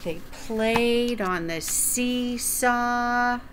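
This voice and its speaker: Older woman, children's read-aloud, fairly high voice, extremely slow deliberate delivery, asides to the child. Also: noisy background. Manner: deliberate, enunciated, asking the child questions. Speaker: storyteller reading a children's picture book aloud. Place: small room at home, indoors.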